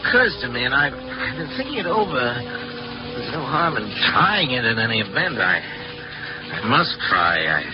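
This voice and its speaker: An actor playing a tormented, guilt-ridden doctor and husband in 1940s radio suspense, halting and repeating himself. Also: male voice, mid-range voice, sing-song, over music. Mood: anguished, brooding. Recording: muffled, noisy 1940s radio recording.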